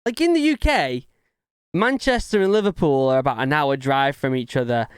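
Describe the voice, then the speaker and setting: A man's voice: mid-range voice, animated, normal speed, clean tone, no room sound, clean studio sound. A young English man hosting a reaction video, chatting casually and talking back at the clips with laughs. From United Kingdom.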